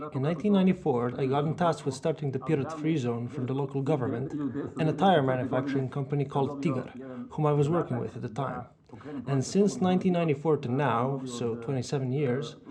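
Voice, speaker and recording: A man dubbing an interview guest, a veteran free zone executive, in a steady and informative manner. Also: mid-range voice, close to an everyday voice. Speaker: interview guest, dubbed into English. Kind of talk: read dub of an interview answer.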